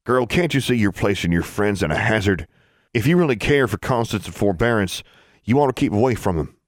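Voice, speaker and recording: A man's voice: lowish voice, animated, brisk, no room sound, clean studio sound, voice pushed up, lightly acted. A man voicing a righteous, accusing teenage country boy in an audiobook, with a rural Southern US accent and preachy, old-fashioned dialect. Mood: indignant accusing. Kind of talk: audiobook character dialogue.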